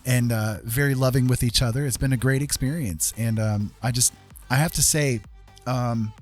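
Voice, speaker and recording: Husky low guy streaming, earnest supportive chat, kinda halting, bit of music behind him. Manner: earnest, encouraging, halting with pauses. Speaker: livestream host and digital artist. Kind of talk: casual livestream chat.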